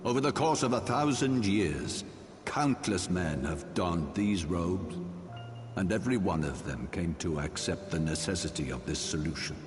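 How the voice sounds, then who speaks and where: A man's voice: low-pitched, expressive, moderate pace. An actor playing a proud, stern old archbishop and grave father in a fantasy game, speaking in solemn, oratorical, archaic phrases. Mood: solemn, regretful. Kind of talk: dramatic fantasy game voice acting. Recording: long hall reverb, music underneath.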